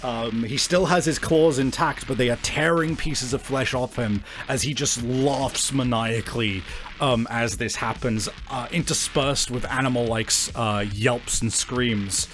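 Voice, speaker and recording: A man's voice: mid-range voice, measured pace, fairly bright tone. A man improvising narration as a game master, descriptive and often searching for his words. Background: faint music.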